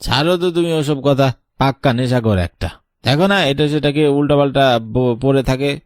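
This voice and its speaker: A man's voice: medium pitch, brisk, darkish tone, no echo, close to an everyday voice. Man acting a dismissive village husband, dry sound. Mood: scornful. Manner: dismissive, reassuring his wife. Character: dismissive, skeptical village husband disparaging his drunkard brother.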